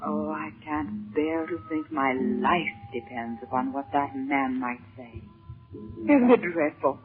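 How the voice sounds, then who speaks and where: A woman's voice: low voice, expressive, takes it slowly. A fretful young captive heroine from a 1930s radio melodrama, earnest and full of dread yet trying to be brave. The vintage recording is muffled, with faint music behind her. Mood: frightened. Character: frightened captive young woman, brave but fretful sister. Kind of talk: 1930s radio serial acting.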